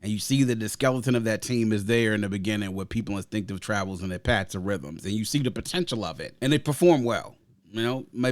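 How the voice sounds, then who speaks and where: A man hosting a casual podcast album review, talking at length in winding sports analogies and steering the discussion. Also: mid-range voice. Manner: long rambling sports analogies; leads the discussion, asks others for picks. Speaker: podcast host. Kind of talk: casual music review chat.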